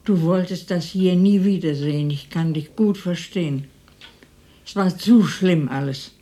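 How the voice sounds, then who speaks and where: Actress as lonely old neighbour lady in a radio play, motherly, slow talking, soft dark tone. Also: fairly low pitch, close to an everyday voice. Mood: subdued, reminiscing. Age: older. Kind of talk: radio drama dialogue.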